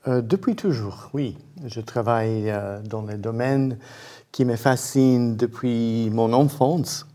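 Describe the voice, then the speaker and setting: A man with a foreign accent, a non-native speaker giving careful, halting explanations as an interview guest and searching for his words. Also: mid-range voice, dark tone. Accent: foreign accent in French. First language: not French. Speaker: guest, independent researcher and inventor.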